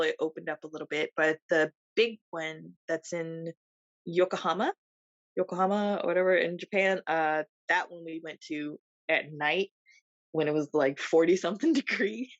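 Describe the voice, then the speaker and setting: A woman with a slightly low voice tells stories on a casual podcast and breaks into laughter often. The sound is dry and close, with a dull, lo-fi tone. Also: no echo. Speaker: podcast co-host. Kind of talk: casual chat.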